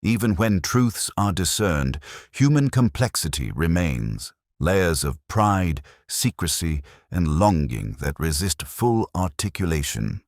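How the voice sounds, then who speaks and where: Very deep-voiced man narrating a detective story. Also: sing-song, dry recording, clean studio sound. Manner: measured, literary, contemplative. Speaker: audiobook-style narrator. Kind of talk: scripted first-person detective story narration.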